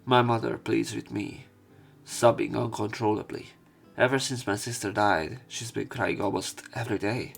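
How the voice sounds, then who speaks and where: A man's voice: on the low side, fairly even intonation, moderate pace, gravelly, husky. A man reading visual novel story text aloud as a let's play narrator, with some commentary. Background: game music.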